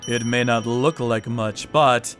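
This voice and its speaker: A man narrating a manga dub in a cold, unhurried, sadistic manner. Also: medium pitch, steady tone, fairly bright tone. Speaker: narrator and torturer character. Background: faint music.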